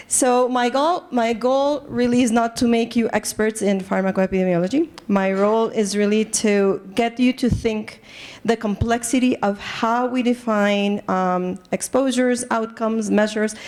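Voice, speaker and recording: A woman's voice: fairly high pitch, darkish tone. A woman from Colombia with a Colombian accent, an assistant professor giving a lecture to a live group, earnest, with many fillers and restarts. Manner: earnest, lots of fillers and restarts, engages audience. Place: conference room.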